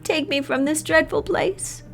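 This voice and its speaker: A woman's voice: high-pitched, measured pace, faint music behind, pitched above the performer's own voice. A woman voicing a frail, frightened young woman. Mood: distraught. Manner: trembling, breathless, pleading. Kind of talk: audiobook character voice.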